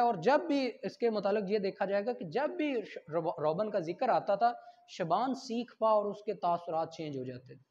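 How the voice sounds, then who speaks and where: High male voice, Pakistani accent, didactic teacher, question-and-answer explaining, English terms mixed in, dull lo-fi audio. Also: a little mellow. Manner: code-switches into English terms. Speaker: law teacher. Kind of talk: explanatory lecture with everyday examples.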